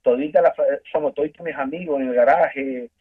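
A man from Ecuador with an Ecuadorian accent, earnestly telling his story as a guest in a phone-in interview, halting and restarting often. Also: a little high, husky. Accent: Ecuadorian Spanish. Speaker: guest giving a testimony. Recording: tinny phone line.

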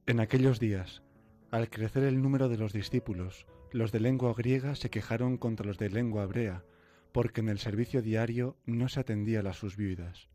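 A man's voice: fairly low pitch, normal speed, warm tone, soft background music. A young man with a Castilian Spanish accent speaking as a radio presenter. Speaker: radio co-host, seminarian. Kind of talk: scripture reading and conversational radio. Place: radio studio. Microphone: studio microphone.

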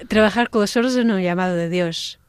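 A Portuguese-born religious sister speaking Spanish as a guest in a radio interview, pausing often and restarting her words. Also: medium pitch, smooth, dry recording, clean studio sound. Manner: halting, searching for words, many restarts.

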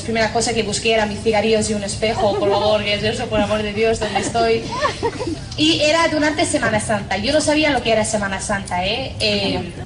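Irish-accented religious sister, wry and self-mocking, slightly high voice, roomy distant recording. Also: female voice. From Northern Ireland. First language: English. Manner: humorous, self-mocking storytelling with many fillers. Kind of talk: personal faith testimony. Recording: distant mic in an echoey noisy room.

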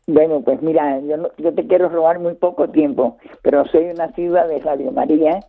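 A woman's voice: low-pitched, steady tone, normal speed, gravelly, no room sound. An older woman with a Cuban accent, speaking effusively as a caller to a radio show. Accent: Cuban Spanish. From Cuba. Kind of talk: casual greeting. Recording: narrow phone line on radio.

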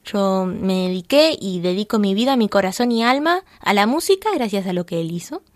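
A young Argentine woman with a Buenos Aires accent, talking about music in a heartfelt, earnest way with the odd soft laugh. Her voice sits at an ordinary pitch, recorded close and dry with no room sound. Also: expressive, normal speed, no echo. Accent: Argentine Spanish (Buenos Aires). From Argentina, Buenos Aires area. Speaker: violinist guest. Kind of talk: radio interview chat.

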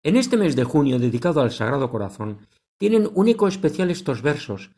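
A man hosting a religious radio program, introducing segments in a measured, devout manner. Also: on the high side, big pitch swings, warm tone, husky. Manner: measured, devout announcer delivery.

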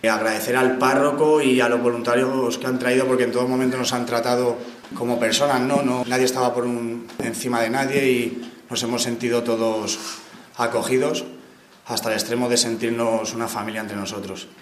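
Flat-voiced man giving thanks. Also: mid-range voice, brisk, roomy sound, a little hiss or hum. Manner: plain, grateful. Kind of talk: thank-you speech.

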